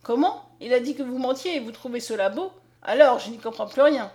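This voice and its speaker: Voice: medium pitch, lively intonation, a little fast, a little mellow, natural voice. A woman narrator voicing a blunt, loyal, grumbling old manservant with a folksy manner in a storybook reading. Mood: indignant. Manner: folksy, indignant.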